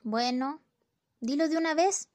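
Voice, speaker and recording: High, flippant scolding wife for a radio drama, played by a woman. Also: a little slow, darkish tone. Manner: flippant, nagging. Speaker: student radio drama actor. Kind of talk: amateur radio play acting.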